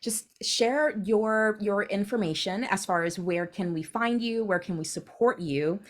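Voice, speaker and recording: A woman hosts her own coaching podcast in an upbeat, encouraging way, and her closing remarks sound practiced. Her voice sits in a middle pitch range. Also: unhurried. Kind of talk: podcast interview hosting.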